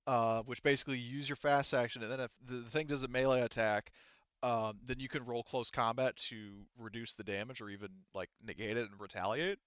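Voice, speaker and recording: A male game master with a bright, clear voice talks players through the rules in a casual, halting way, thinking aloud. The sound is dry and close, though slightly dull and lo-fi. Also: medium pitch, fairly even intonation, no echo. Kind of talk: casual tabletop game refereeing.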